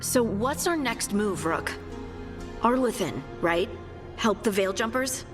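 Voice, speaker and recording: A woman's voice: medium pitch, animated, slow, music in the background. A woman voicing a hopeful, practical adventurer in a fantasy video game.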